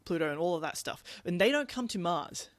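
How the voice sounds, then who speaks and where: A woman co-hosting a podcast, chatting casually about a book in an animated, rambling way and acting out reactions. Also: on the low side, a little fast, dry recording. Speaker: podcast co-host.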